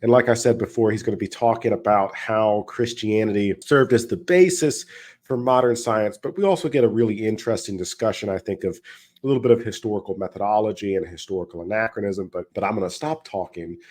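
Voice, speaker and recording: A man's voice: lowish voice, a little mellow. A man, a history and philosophy educator, giving commentary on a video clip while getting over a cold, sounding a little nasal. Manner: measured, explanatory, repeats phrases for emphasis.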